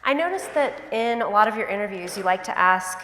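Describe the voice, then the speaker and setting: A woman professor moderating an onstage interview, asking questions in a measured way with filler pauses. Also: medium pitch, animated, fairly bright tone, slight background noise. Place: university auditorium. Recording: roomy hall with long reverb.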